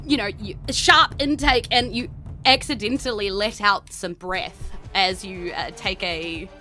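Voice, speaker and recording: A woman's voice: medium pitch, measured pace, sharp tone, soft background music. A woman with a New Zealand accent running a tabletop fantasy game, narrating informally and playfully with vivid storytelling and casual banter.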